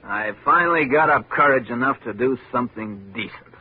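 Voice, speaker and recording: An actor playing a boozy, self-loathing architect in a 1950s radio detective drama, rambling, florid and wheedling. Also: male voice, medium pitch, a little fast, slightly rough, close to an everyday voice. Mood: boozy, self-mocking. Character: boozy, rumpled, self-loathing architect confessing his crimes. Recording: old radio broadcast, dull band.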